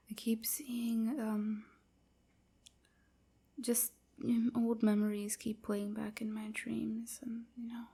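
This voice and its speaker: A woman playing a timid, submissive vampire slave in an intimate audio roleplay, hesitant and stammering, with a soft, whispery, apologetic delivery. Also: fairly high pitch, exaggerated voice. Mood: anxious, evasive. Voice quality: soft, breathy, often whispery. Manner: hesitant, stammering, hushed and breathy.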